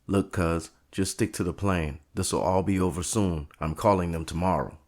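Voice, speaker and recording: A man's voice: deep voice, fairly even intonation, moderate pace, a little mellow. A man playing a guarded, plain-spoken barbershop owner standing firm against mobsters in an audio drama. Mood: calm, resolute.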